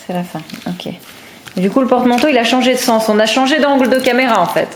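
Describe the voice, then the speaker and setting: A woman's voice: fairly high pitch, quick pace. A woman chatting casually, asking playful, encouraging questions as a mother presenting a home video with a child. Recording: echoey room, clear background noise.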